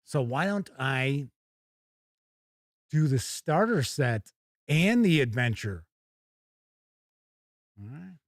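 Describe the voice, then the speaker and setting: A man's voice: medium pitch, animated, slow, pauses often, no room sound, studio quality. A man hosting a casual live stream with folksy, hearty showman patter. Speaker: host of a tabletop gaming live stream.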